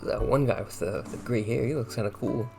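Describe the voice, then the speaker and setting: A young man giving casual, halting, offhand commentary as he plays a game, often repeating his words. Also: mid-range voice, creaky, music in the background. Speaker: gaming streamer. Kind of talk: casual let's-play commentary.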